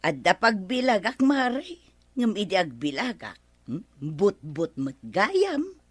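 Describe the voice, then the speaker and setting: Village neighbour woman, Ilocano radio comedy, very lively intonation, easily offended. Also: medium pitch, slow pace, husky, dry recording, exaggerated voice. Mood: pleading. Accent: Filipino, Ilocano. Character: village neighbour woman borrowing a drying mat, easily miffed. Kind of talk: broadcast radio comedy drama acting.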